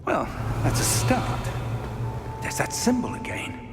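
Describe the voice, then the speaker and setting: An actor playing an older wizard professor in a video game, a measured and wary mentor with a British accent. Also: male voice, very high voice, wildly swooping pitch, loud music behind the voice, heavily acted. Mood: intrigued.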